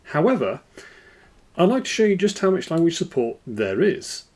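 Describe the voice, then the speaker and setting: A man hosting a screen-recorded software walkthrough, explaining in a relaxed way. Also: fairly high pitch, normal speed. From United Kingdom. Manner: relaxed explanatory, lively intonation. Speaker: tech video host.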